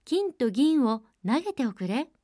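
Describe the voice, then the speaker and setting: A woman's voice: high voice, sing-song, unhurried, dark tone, no room sound, clean studio sound, voice pushed up, heavily acted. A woman voicing a young fairy-tale heroine who chants a pleading wish.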